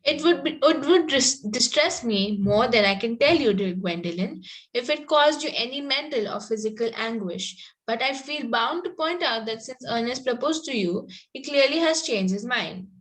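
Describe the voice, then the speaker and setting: A spirited young country girl in a Victorian comedy, acted by a woman at a table read. The voice is on the high side. She is sweetly polite with a pointed edge, and she stumbles a little as she reads. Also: animated, normal speed, fairly bright tone, low-quality recording. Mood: politely defiant. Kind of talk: amateur reading of a Victorian comedy play.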